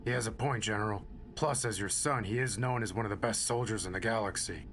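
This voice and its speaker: A man's voice: lowish voice, quick pace, fairly bright tone. Man playing an earnest soldier, faint noise behind. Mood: questioning. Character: earnest, tactically minded male soldier, respectful and cautious. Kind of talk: scripted audio drama acting.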